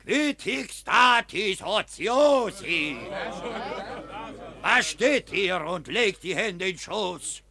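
A man in a 1950s radio play acting an indignant friar who preaches at soldiers in loud, drawn-out, scolding verse. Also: high voice, expressive, creaky, crowd noise, heavily acted.

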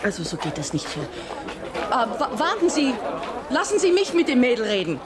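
A woman's voice: fairly high pitch, huge pitch swings, moderate pace. An actress in a radio drama playing an emotional, outspoken mother who stammers and rambles in agitation. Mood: flustered. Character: emotional, outspoken ordinary mother, indignant and flustered. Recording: noisy outdoor crowd scene.

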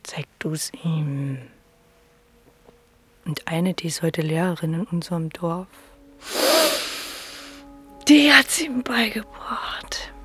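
An actress playing a dreamy, exact night watchwoman who murmurs haltingly to herself in a radio play. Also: on the low side, fairly bright tone. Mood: wistful remembering. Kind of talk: German radio play acting.

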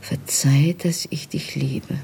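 An actress playing a tender, resigned wife facing arrest in a radio drama, hushed and breathy, speaking solemnly and intimately. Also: female voice, extremely low pitch, steady tone, slow, in a whisper, full character voice. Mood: wistful. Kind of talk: literary radio drama acting.

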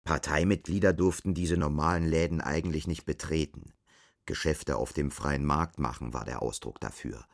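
An actor playing a sober storyteller, narrating a bleak dystopian radio drama in a measured, matter-of-fact manner. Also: male voice, extremely low pitch, vocal fry, no echo, studio quality.

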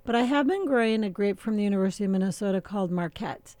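Mellow-voiced middle-aged woman winemaker. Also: medium pitch, dry recording. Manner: relaxed and chatty, with many filler pauses and easy laughter. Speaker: winemaker guest. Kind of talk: casual radio interview.

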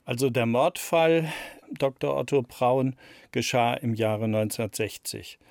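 A man's voice: mid-range voice, steady tone, unhurried, vocal fry, dry recording, studio quality. A man in his sixties giving reflective, explanatory interview answers, repeating words for emphasis. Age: 60s. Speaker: radio play author being interviewed.

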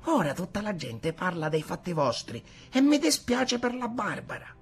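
A man's voice: sits high, big pitch swings, normal speed, slightly rough, soft background music, voice pushed up, heavily acted. A man voicing a worried, reproachful village mother in a storybook reading.

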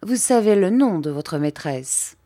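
A woman's voice: fairly low pitch, lively intonation, warm tone. A woman reading as a cold, controlling husband. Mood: polite menace. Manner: measured, courteous, firm.

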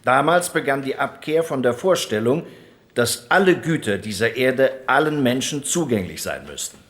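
A man's voice: medium pitch, lively intonation, moderate pace, a bit bright, lightly acted. A grave postwar male politician, played by an actor, orating with a strongly varied melody. The speech is formal and emphatic, in radio docudrama style. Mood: grave, regretful. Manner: rhetorical, emphatic. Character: grave postwar liberal parliamentarian giving a speech. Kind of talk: formal parliamentary oratory in docudrama.

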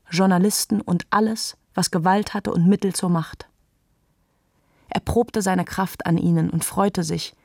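Knarrende Frauenstimme, trocken aufgenommen, erzählend. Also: female voice, fairly low pitch, creaky, a little mellow, slightly rough, dry recording, studio quality. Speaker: radio play narrator. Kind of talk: literary scripted narration.

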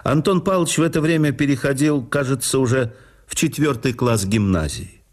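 A man reading memoirs aloud as scripted narration for a radio audiobook, measured and expressive, phrases falling at their ends. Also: mid-range voice. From Russia.

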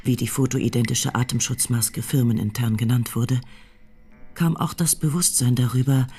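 A man's voice: mid-range voice, fairly even intonation, moderate pace, dark tone, husky, faint music behind. A man narrating a scripted radio drama as its storyteller.

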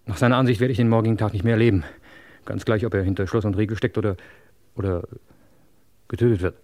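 Man playing a low-voiced, wary criminal informant. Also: fairly even intonation, a little mellow, natural voice. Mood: grave, worried. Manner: measured, polite, hesitant. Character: wary, deliberate male criminal turned police informant. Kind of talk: 1950s-80s German crime radio play acting.

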